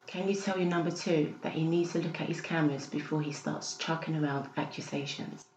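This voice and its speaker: A woman playing a calm, cheeky, defiant psychiatric patient in an audiobook drama. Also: low-pitched, fairly quick, lightly acted. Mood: calmly defiant. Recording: roomy with faint background noise.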